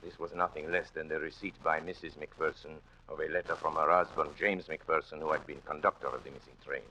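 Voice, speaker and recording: A man's voice: a bass voice, steady tone, fairly quick, pushed and bright. A man giving dramatic scripted narration for an old-time radio drama. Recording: noisy vintage radio recording.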